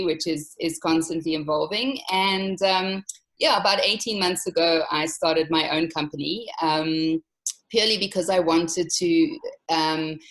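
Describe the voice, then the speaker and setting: South african woman, low-ish voice, easygoing interview guest, lots of ums. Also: low-quality recording. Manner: soft-spoken, many ums, unhurried. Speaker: travel company owner, interview guest. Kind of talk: casual interview.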